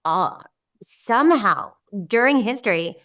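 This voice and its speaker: A middle-aged Israeli woman whose first language is Hebrew, talking as an interview guest in a warm, rambling way with many restarts. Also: fairly high pitch, expressive, unhurried, far from the mic. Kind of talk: casual interview talk.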